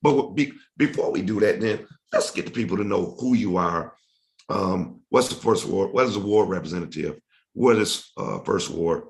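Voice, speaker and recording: A man hosting a casual local talk show, his voice a little raspy and hoarse from hay fever. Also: low voice, lively intonation, normal speed. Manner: loose, conversational, informal phrasing. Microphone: studio mic.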